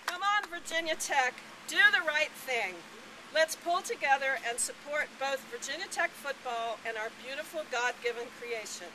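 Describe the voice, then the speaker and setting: High-voiced older woman giving a rally speech. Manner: earnest, persuasive. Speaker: rally speaker. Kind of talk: prepared speech read aloud to a rally. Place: outdoors on a campus lawn. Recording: clear outdoor ambient noise, traffic.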